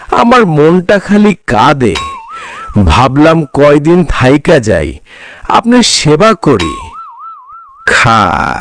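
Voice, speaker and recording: A man's voice: a little high, no echo, clean studio sound, exaggerated voice. A man voicing a sweet-talking, greedy young nephew in a cartoon. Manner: fawning, sugary persuasion.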